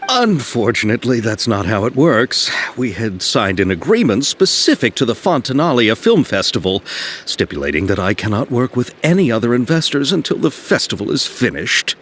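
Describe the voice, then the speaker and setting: A man's voice: mid-range voice, normal speed, slight background noise. A man playing an eager, polite and bookish young filmmaker in a video game, explaining things earnestly and wordily. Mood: downcast but polite. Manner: earnest, wordy explainer, very expressive. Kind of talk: video game cutscene acting.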